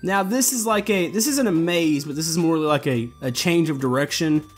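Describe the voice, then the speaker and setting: A man with a fairly high voice talks through a building game in a loose, easygoing way, trailing off and restarting his sentences. Soft music plays quietly underneath him. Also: normal speed. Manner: relaxed, rambling, many fillers and restarts. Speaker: gameplay video host. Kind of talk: casual walkthrough commentary. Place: voice-over while playing a building game.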